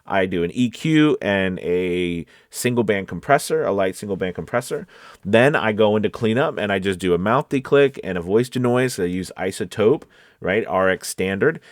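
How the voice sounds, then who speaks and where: A man's voice: on the low side, animated, normal speed, dry recording. A man speaking as a voice-over coach in a casual tutorial, conversational and often checking in with his listeners. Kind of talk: casual talking-to-camera tutorial. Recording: close, dry home studio sound.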